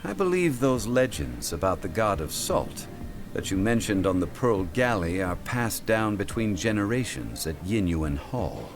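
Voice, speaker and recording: A calm, scholarly gentleman of stern authority in a video game. His voice is very deep and his speech formal and measured, yet it rises and falls expressively. Soft music plays behind him. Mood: composed. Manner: measured, formal, solemn delivery.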